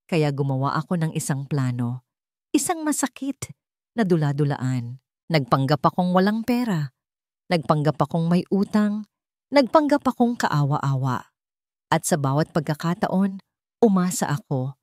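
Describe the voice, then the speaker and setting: An elderly widowed father acted out by a woman in a radio-style story, dignified but wounded. The speech is solemn and emotional, with highly animated pitch in a dry, close recording. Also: unhurried, pauses often, dry recording. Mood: pained, earnest. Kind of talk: dramatic audio-story dialogue.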